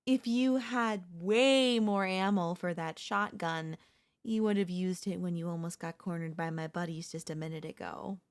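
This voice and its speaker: A woman's voice: on the low side, steady tone, lightly acted. A woman voicing a chatty, teasing zombie in a comedic audio roleplay, talking casually and playfully straight to the listener. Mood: teasing, persuasive. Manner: casual, playful, taunting, slangy, drawn-out delivery. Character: chatty, teasing, cocky female zombie hunting a human survivor.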